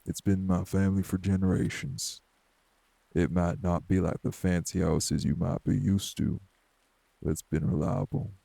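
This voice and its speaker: A man playing a morose older wraith in an audio drama, blunt, folksy and scolding, with a husky, gravelly voice. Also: little pitch movement, normal speed, exaggerated voice. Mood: stern, reproving. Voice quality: very gravelly, husky, dark low voice. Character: morose older male wraith, rural homebody, stern but hospitable. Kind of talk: dramatic audio roleplay acting.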